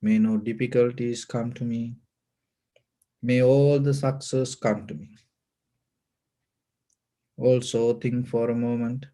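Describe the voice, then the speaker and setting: A man with a Sri Lankan accent leading a guided meditation as a Buddhist teacher, giving gentle, measured instructions with short pauses. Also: mid-range voice, steady tone.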